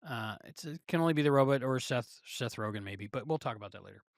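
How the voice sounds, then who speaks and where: A man co-hosting a podcast, chatting casually in a loose, halting, jokey way. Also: mid-range voice, expressive, dry recording, studio quality. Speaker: podcast co-host. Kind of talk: casual review chat.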